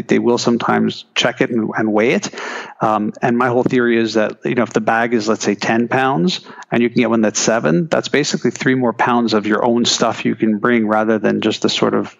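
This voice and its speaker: A man's voice: medium pitch, creaky, highs cut off. A man talking casually as an interview guest, chatty with frequent restarts and fillers. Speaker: guest, keynote speaker.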